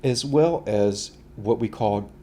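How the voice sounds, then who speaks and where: A man talking through voice and breathing exercises in a relaxed, conversational way. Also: lowish voice, slow, slight background noise. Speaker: voice coach. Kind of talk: instructional talk.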